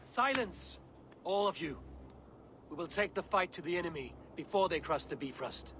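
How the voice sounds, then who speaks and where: A man's voice: a little high, expressive, normal speed, bright, forceful tone, slight background noise. Havi, brooding king of the gods. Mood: commanding. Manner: commanding, brooding. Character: Havi, proud aging male king of the gods. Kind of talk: video game cutscene acting.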